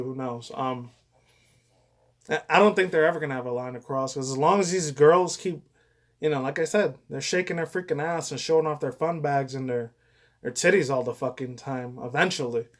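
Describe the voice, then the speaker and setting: A young man giving casual, off-the-cuff reaction commentary in a rambling way full of crude slang and swearing. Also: medium pitch.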